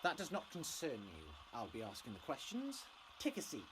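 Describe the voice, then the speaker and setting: Man playing a smugly menacing official, lively pitch. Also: moderate pace, background noise. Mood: cold threat. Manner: formal, condescending, veiled threats. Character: menacing, smugly polite government enforcer interrogating people. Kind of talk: audio drama acting.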